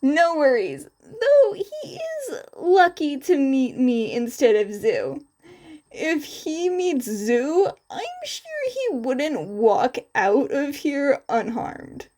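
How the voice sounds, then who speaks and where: A woman plays a young winged hero guy from a storybook reading. He is defiant and protective, speaking slowly and firmly in a fairly high, mellow voice. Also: full character voice. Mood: casual. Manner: calm, firm, quietly threatening.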